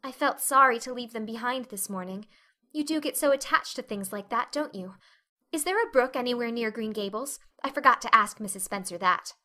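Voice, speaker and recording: A chatty, imaginative young girl, played by a woman, high and very bright in tone. She is earnest, effusive and dramatic, as in a storybook reading. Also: expressive, fairly quick. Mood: eager, happy. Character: talkative, dreamy, dramatic eleven-year-old orphan girl.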